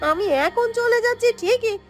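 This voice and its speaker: Shrill-pitched vengeful young village woman acted by a woman. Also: moderate pace, over loud music. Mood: angry, threatening. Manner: accusatory, vengeful, emphatic. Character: angry accusing young village woman avenging her mother. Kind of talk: Bengali fairytale cartoon voice acting.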